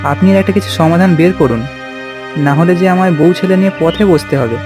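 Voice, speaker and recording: Man voicing a high-pitched, earnest cartoon farmer. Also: a little fast, music in the background, close to an everyday voice. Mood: pleading. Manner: exclamatory, plaintive. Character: excitable farmer husband, beleaguered and earnest. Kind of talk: children's cartoon voice acting.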